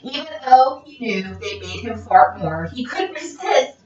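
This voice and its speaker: A woman reading a children's picture book aloud in a theatrical, expressive, playful way. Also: a little high, unhurried. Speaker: read-aloud storyteller. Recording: roomy, dull lo-fi, distant mic.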